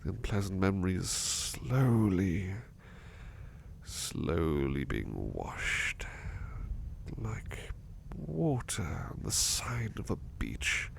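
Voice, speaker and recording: A man playing a devoted, polite butler in an ASMR roleplay, speaking softly and hesitantly with courteous formality, often slipping toward a whisper. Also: low voice, sing-song, drawn-out words, gravelly, a little hiss or hum, exaggerated voice. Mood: tender, sleepy. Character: devoted, affectionate, polite male butler comforting his companion. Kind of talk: ASMR roleplay, intimate personal attention.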